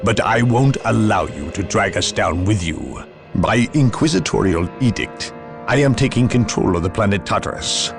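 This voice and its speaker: A man's voice: on the low side, animated, normal speed, vocal fry. An actor playing a haughty, severe inquisitor in a game cutscene, formal and imperious in his delivery. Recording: loud music behind.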